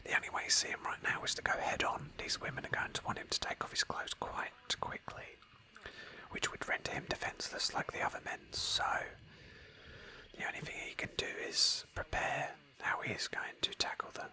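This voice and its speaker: A woman speaking in a hushed, breathy whisper close to the microphone, softly narrating a video game roleplay as an ASMR host. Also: brisk, poor audio.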